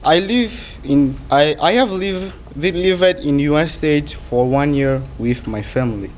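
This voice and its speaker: Male student, teens, native Portuguese with Angolan accent, slightly high pitch, careful halting prepared speech, faint noise. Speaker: student award presenter.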